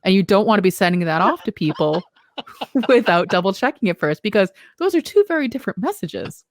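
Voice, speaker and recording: A woman's voice: mid-range voice, big pitch swings, brisk, a little hiss or hum. A woman co-hosting a podcast in casual conversation, practical and questioning, with a lot of "you know.". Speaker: podcast co-host.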